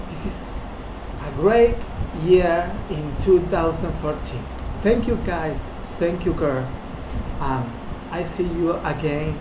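Argentine man, halting English, echoey room. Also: fairly high pitch, noisy background. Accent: Argentine Spanish. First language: Spanish. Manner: halting non-native English. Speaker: fan sending birthday wishes.

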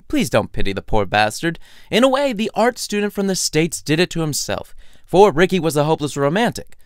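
Animated, higher-voiced male storyteller. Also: clean tone, no echo, clean studio sound. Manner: irreverent, sardonic, foul-mouthed; theatrical, chatty, addresses the listener. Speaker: writer reading his own short story. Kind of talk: comedic storytelling narration.

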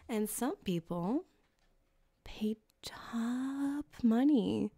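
A flirty, possessive female cyberpunk street surgeon played by a woman for an ASMR roleplay. Her voice is mellow and breathy, often near a whisper. She teases at an unhurried pace, very close and dry on the mic. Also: mid-range voice, no room sound, exaggerated voice. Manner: teasing, unhurried, intimate, giggly. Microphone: close binaural microphone, dry.

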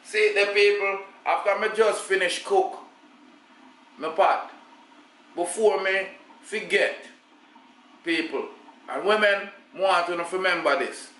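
A man's voice: high-pitched, takes it slowly, long pauses, sharp tone, echoey room, low-quality recording. A middle-aged Jamaican man hosting a casual home cooking vlog, talking to the camera in a chatty way with patois asides. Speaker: cooking channel host. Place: home kitchen, indoors. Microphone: camera on the counter.

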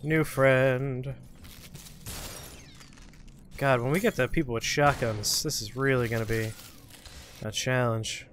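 A man's voice: mid-range voice, fairly even intonation, slow, pauses often, fairly bright tone, a little hiss or hum. A man hosting a gaming show with casual gameplay commentary, talking to himself and to his opponents as he plays. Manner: talks to himself and to game enemies.

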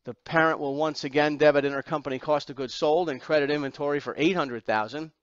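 A man's voice: fairly high pitch, fairly even intonation, fast talker, dry recording, highs cut off. A man teaching an accounting exam class, lecturing through slides step by step with emphatic repetition and rhetorical questions.

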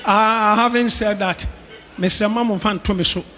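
Animated Ghanaian pastor, high voice. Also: male voice, moderate pace. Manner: animated, joking, shouting at times. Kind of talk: preaching from a church stage, mixing Twi and English. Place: large indoor church hall. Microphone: handheld microphone.

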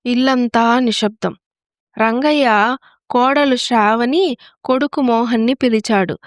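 Woman telling a story, voice kinda high, super dry recording. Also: a little slow, no echo. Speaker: story narrator. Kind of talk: scripted story narration.

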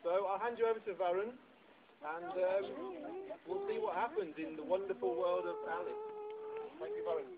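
A man's voice: high voice, sing-song, moderate pace, heavy background noise. A man presenting to children, explaining simply and encouraging them with questions. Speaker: publisher's presenter introducing a show. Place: public book festival event with children. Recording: tinny lo-fi phone video, loud crowd.

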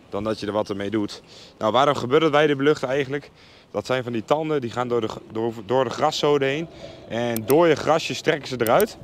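Young man vlogging outdoors in noisy wind. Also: medium pitch. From Netherlands. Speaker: farmer and vlogger. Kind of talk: casual vlog narration to camera. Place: outdoors on a farm and in a tractor cab. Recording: windy outdoor recording with machinery noise. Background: wind and vehicle noise.

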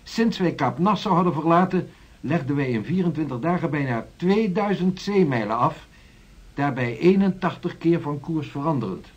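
An actor playing a patient, formal 16th-century Dutch navigator in a radio play, reporting in deliberate archaic phrases. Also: male voice, a little high, expressive, highs cut off. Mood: measured, reporting. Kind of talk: radio drama acting, storytelling report.

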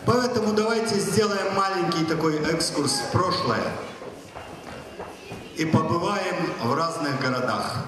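A man's voice: on the high side, unhurried, crowd noise. A man in his thirties doing a stand-up monologue on stage, chatting directly with the audience over a handheld mic. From Soviet Union. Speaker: stand-up comedian. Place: large stage hall. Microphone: handheld mic, filmed from far. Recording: echoey hall from far off.

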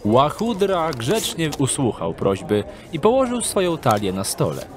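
A man narrating a scripted dark fantasy audio drama in a measured storytelling manner. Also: on the high side, big pitch swings, a crowd in the background.

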